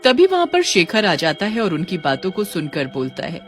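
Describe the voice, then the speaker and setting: A woman's voice: fairly low pitch, wildly swooping pitch, moderate pace, faint music behind. A woman narrating a scripted cartoon story.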